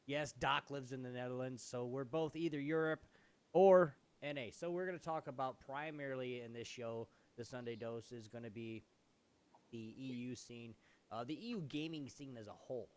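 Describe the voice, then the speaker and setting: A man's voice: medium pitch, moderate pace. A man co-hosting a casual live gaming show with playful banter. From North America.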